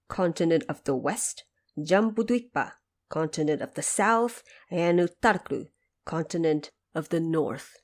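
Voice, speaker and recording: A woman with a slightly low voice narrates a scripted story for an audio drama. Her phrasing is measured and expository, laid out like a storyteller explaining an old myth. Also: darkish tone.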